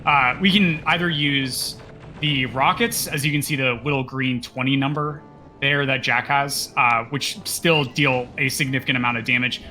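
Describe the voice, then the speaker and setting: A man's voice: medium pitch, fairly even intonation, normal speed, sharp tone, soft background music. A man co-commentating a live speedrun, explaining the game in a casual way.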